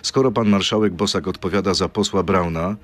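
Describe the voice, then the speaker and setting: A man's voice: sits low, fairly quick. A middle-aged man interviewing a politician in a radio studio, firing pointed, challenging questions into a desk microphone.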